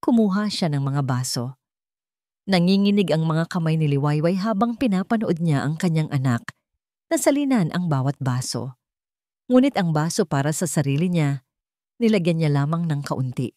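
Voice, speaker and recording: A woman's voice: low-pitched, lively intonation, normal speed, warm tone, dry recording, clean studio sound. A woman storyteller reading a dramatic scripted narration in a suspenseful, emotive manner.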